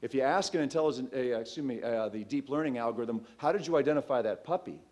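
A man in his thirties, a startup CEO, giving a loose, conversational pitch on a stage in a large auditorium, speaking into a stand mic with frequent ums and restarts. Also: quick pace, darkish tone. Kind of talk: conference pitch talk.

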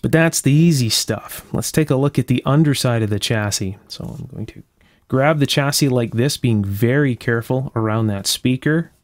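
A man's voice: mid-range voice, moderate pace, dry recording. Man narrating repairs, dry close sound. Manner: careful, step-by-step explaining. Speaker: electronics restorer and video host. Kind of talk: casual hands-on repair narration. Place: indoor electronics workshop at a bench.

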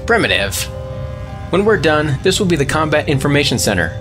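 A man playing a gruff, impatient starship engineering chief, curt and sarcastic, in a sci-fi audio drama. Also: on the high side, sing-song, brisk, music in the background. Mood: irritated.

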